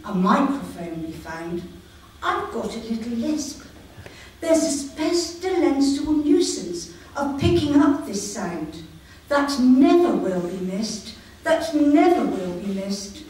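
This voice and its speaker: Slow-reading woman poet in an echoey hall. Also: high voice, a little mellow, far from the mic. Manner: slow, measured reading with light humour. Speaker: poet reading her own work. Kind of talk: poetry reading at a live event. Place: community hall with live audience. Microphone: no microphone, voice projected.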